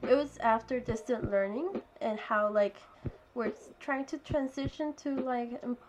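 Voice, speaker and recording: A teenage girl co-hosting a podcast, chatting casually, halting and often giggling. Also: a little high, background noise. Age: teens. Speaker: podcast co-host. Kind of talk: casual chat.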